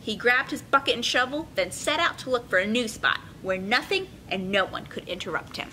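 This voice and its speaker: A young woman hosting a children's storytime, speaking in an expressive, encouraging way as she reads and instructs. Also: brisk, low-quality recording. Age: young adult. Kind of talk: picture-book reading and friendly instruction for children. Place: indoor children's room with carpeted steps. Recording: clear room noise, low rumble.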